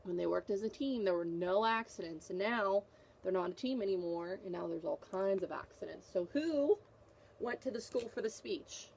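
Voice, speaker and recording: A woman's voice: medium pitch, lively intonation, normal speed, gravelly, a little mellow, a little hiss or hum, poor audio. A woman teacher reading a picture book aloud to children, with an instructive manner, repeating questions to prompt her young listeners.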